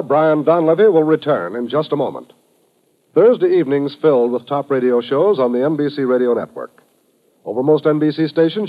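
Animated American vintage radio announcer, male. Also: fairly high pitch. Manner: polished, declamatory promotional delivery. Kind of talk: 1950s radio announcing, scripted. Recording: muffled vintage radio broadcast.

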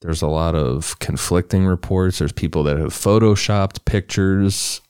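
A man co-hosting a podcast, reading a true crime story in a relaxed, loose way with casual asides. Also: sits low, measured pace, clean tone, dry recording, studio quality. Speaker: podcast co-host.

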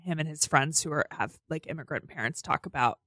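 A woman's voice: sits low, fairly quick, bright, forceful tone, no room sound, clean studio sound. A woman in her thirties, a podcast guest, talking candidly and chattily, full of "like". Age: 30s. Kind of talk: casual chat. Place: studio. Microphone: studio mic.

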